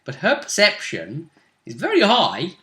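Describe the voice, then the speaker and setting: A man's voice: sits high, huge pitch swings, measured pace, pushed and bright, echoey room. A man narrating and discussing a tabletop fantasy game as the game master, in an improvised, conversational way.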